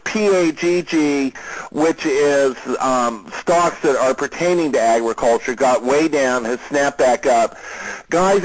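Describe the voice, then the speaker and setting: A man hosting a conference call as an analyst, giving unscripted commentary in a measured, informal way. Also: mid-range voice, rough recording. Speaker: host, market analyst.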